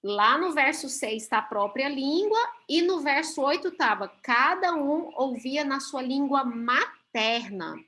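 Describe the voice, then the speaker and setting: A Brazilian woman leads a Bible class over a video call. Her voice sits high and she speaks slowly and deliberately. She explains patiently and keeps checking that her listeners follow along. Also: lively intonation, pushed and bright. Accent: Brazilian Portuguese.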